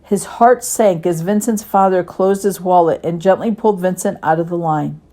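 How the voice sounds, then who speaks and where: A woman reading a children's story and gentle lesson expressively, as a Sunday school teacher does. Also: lowish voice, moderate pace.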